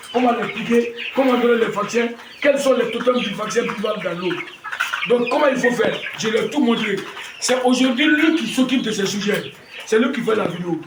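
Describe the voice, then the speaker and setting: A man in his fifties with a Malian French accent shows off his poultry farm, proud and repetitive, often restarting his words. His voice sits high for a man. It is heard from a distance in an echoey space, with clear farm noise and clucking behind him. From Mali. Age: 50s. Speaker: poultry breeder. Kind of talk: farm tour talk to camera. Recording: echoey, far from the mic, noisy farm.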